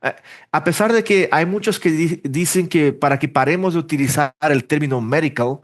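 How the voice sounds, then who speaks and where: A man from Brazil, a native Portuguese speaker, being interviewed in a relaxed way, thinking aloud with restarts and fillers. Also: on the high side, moderate pace. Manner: rambling, self-correcting, thinks aloud with fillers. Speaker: interpreter and language teacher, podcast guest. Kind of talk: casual interview conversation.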